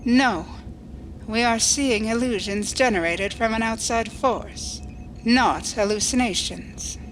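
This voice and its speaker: A woman's voice: a little high, slow, slight background noise, low-quality recording. A woman playing a coolly logical alien starship officer, formal, precise and unemotional, in a science fiction audio drama. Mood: formal, detached.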